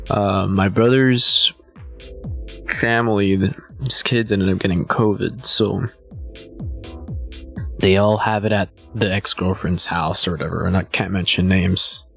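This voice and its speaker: A man's voice: on the low side, a bit bright. A man in his twenties chatting casually to his live stream viewers, a bit hungover, rambling and laid-back with lots of "man" and "you know.". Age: 20s. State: a little hungover.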